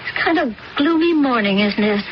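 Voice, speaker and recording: A woman's voice: a little high, lively intonation, normal speed, natural voice. An actress playing an anxious middle-aged stepmother in a radio drama, speaking hesitantly and uneasily. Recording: dull old radio recording with hiss.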